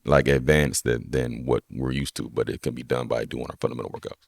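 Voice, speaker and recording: A young man with a deep voice, a personal trainer chatting casually as a podcast guest, relaxed and rambling with lots of "you know what I'm saying.". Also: a little fast, gravelly, no room sound, clean studio sound. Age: young adult. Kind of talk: casual conversation.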